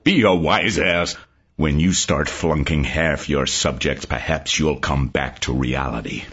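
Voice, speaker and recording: A man voicing an exasperated old male professor in an audiobook, gruff and scolding. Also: low voice, expressive, normal speed, lo-fi sound, voice pushed down.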